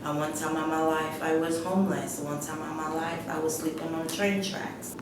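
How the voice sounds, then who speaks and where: Middle-aged woman from Guyana, low and flat delivery, halting personal testimony, distant mic with clear background rumble. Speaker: interviewee telling her life story. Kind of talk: personal testimony, unscripted storytelling. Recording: echoey room, distant mic, rumbling noise.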